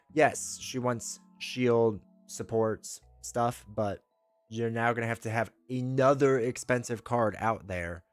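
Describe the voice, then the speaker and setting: A man with a stuffed-up voice from a cold, hosting a casual live stream. He talks in a rambling, opinionated way, often restarting his sentences as he reads and answers chat. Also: lowish voice. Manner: rambling, self-correcting, opinionated. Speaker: streamer host.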